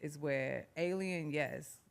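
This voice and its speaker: Animated woman telling a story. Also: sits low, slow, creaky, clear, resonant tone. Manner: animated, acts out quotes, laughs often. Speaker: singer-songwriter guest. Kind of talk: casual interview storytelling.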